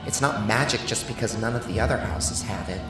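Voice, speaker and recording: An actor playing a weary, humble handyman with a plain, halting, self-chiding manner in a dramatized horror audio story. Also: lowish voice, fairly bright tone. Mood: wary. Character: weary, humble handyman in a bleak post-apocalyptic world. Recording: echoing voice over clear music.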